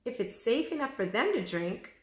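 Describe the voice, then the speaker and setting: A woman's voice: on the high side, sing-song, moderate pace, reverberant, voice pushed up. A woman reading the part of an earnest, cautious young boy adventurer in a storybook, explaining things emphatically. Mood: relieved.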